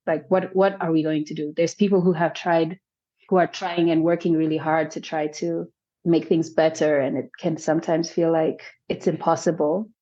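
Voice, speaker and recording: Lowish woman's voice, filmmaker thinking out loud, lots of restarts, kinda muffled audio. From South Sudan. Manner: thoughtful, many restarts and hedges. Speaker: filmmaker guest. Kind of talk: reflective interview answers.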